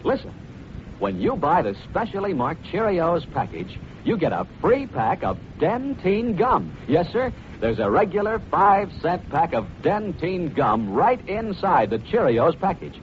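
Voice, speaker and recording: A man's voice: fairly high pitch, sing-song, unhurried. A man announcing an old radio commercial to children, enthusiastic and emphatic. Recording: muffled, noisy old radio recording.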